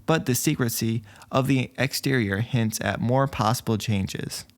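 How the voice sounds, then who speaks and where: A man hosting an online video, giving scripted news commentary in a measured, explanatory way with his own opinions mixed in. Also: medium pitch. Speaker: YouTube host commenting on company and investing news.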